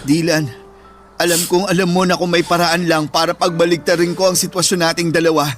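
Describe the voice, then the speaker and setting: A man's voice: a little high, flat delivery, brisk, fairly bright tone, faint music behind, natural voice. A man acting an anguished, protective older brother in a Tagalog radio drama, emotional and pleading. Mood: tender, resolute.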